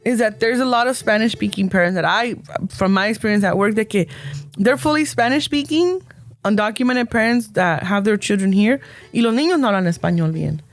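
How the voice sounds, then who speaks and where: A woman's voice: medium pitch, brisk, no room sound. A woman guesting on a casual podcast chat, talking freely and laughing often as she switches between Spanish and English.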